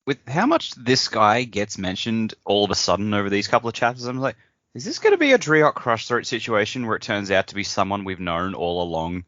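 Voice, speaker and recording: A man's voice: on the low side, sing-song, brisk, fairly bright tone, dry recording, dull audio. A man co-hosting a podcast in casual conversation. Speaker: podcast co-host. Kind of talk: casual chat.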